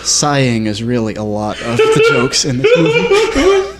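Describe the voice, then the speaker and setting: A man's voice: mid-range voice, huge pitch swings, measured pace. A man co-hosting a casual movie podcast with wry, sarcastic remarks. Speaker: podcast co-host. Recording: faint background noise.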